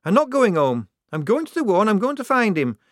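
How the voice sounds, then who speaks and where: A man's voice: sits high, animated, fairly quick, dry recording, studio quality, voice pushed up. A man voicing a young woman disguised as a male recruit, who mumbles and then speaks hotly. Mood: defiant. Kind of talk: audiobook character voice.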